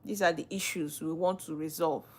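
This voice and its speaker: A woman's voice: low-pitched, fairly even intonation, normal speed, darkish tone, husky. A woman with a Nigerian accent, a legal practitioner hosting a live stream, reading out viewer comments and questioning them in a teasing way. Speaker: livestream host, legal practitioner.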